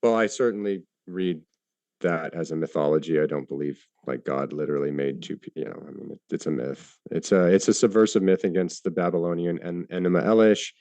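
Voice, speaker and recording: A low-pitched man speaking in a debate, loose and informal. He stumbles through answers with frequent fillers and restarts and swears offhandedly. The recording is dry and close. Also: a little fast, a little mellow, no echo. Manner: hesitant, many fillers and restarts, casual swearing. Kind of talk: live debate.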